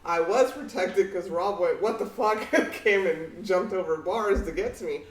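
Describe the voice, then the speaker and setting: A woman with a fairly deep voice co-hosting a casual podcast chat, blunt and outspoken, swearing freely. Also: normal speed, distant mic. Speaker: podcast co-host. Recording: echoey room, off mic.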